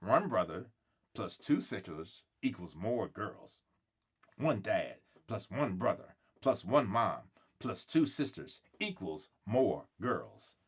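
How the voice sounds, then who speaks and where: A man's voice: on the low side, sing-song, takes it slowly, breathy edge. A man reading a children's book aloud expressively, acting out the dialogue and stretching words for emphasis. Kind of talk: expressive read-aloud storytelling.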